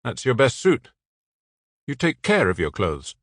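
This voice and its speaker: Narrator doing a chill country cop, patient and coaxing, bit lifted voice. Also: male voice, medium pitch, huge pitch swings, a little slow, a bit bright, dry recording, studio quality, exaggerated voice. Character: steady, patient country policeman.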